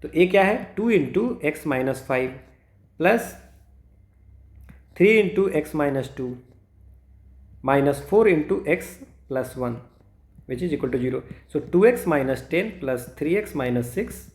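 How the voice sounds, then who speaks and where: A man's voice: on the high side, a little mellow. A man working through exam math problems step by step, mixing Hindi and English and asking and answering his own questions. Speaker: exam prep math teacher. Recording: echoey room.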